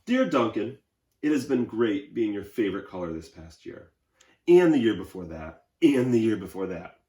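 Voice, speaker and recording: Tired, stubby storybook crayon, voiced by a man: polite, earnest complaint, expressive pitch, roomy acoustic. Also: moderate pace. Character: short, stubby, worn-out blue crayon, weary but polite. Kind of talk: children's storybook read-aloud.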